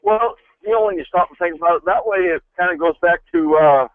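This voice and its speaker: A man's voice: high voice, a little fast, no echo. A man calling in to a radio show, chatting casually over a muffled phone line.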